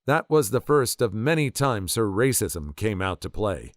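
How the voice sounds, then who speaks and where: Lively man narrating stories. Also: fairly low pitch, unhurried, no echo, studio quality. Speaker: story narrator. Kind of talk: reading internet stories aloud.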